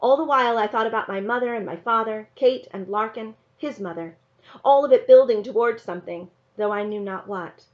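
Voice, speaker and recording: A woman reading a children's novel aloud in an expressive narrating style. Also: fairly high pitch, fairly quick. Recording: slightly dull, lo-fi sound.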